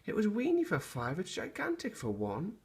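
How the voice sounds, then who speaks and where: A flustered little old lady from a bedtime story, acted out by a man. The voice sits high in falsetto and swings widely in pitch. The delivery is exaggerated, drawn out and full of grumbling. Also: wildly swooping pitch, moderate pace, exaggerated voice. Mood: delighted.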